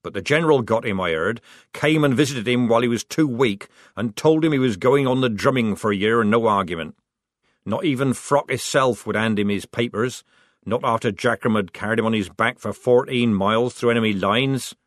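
A man's voice: mid-range voice, fairly quick, dry recording, studio quality, lightly acted. Brief: man voicing a mouthy young recruit, brash and mocking, storybook reading.

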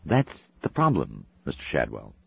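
A homely, motherly landlady, played by a man, lifting his deep voice higher and letting it swing. She chides gently and speaks in a husky tone. The sound is dull. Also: huge pitch swings, normal speed, dry recording, exaggerated voice. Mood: gently chiding.

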